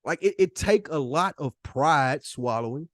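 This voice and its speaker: A man's voice: fairly high pitch, expressive, measured pace, no echo, clean studio sound. An American man co-hosting a podcast in casual, slangy, emphatic talk, often acting out imagined dialogue. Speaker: podcast co-host.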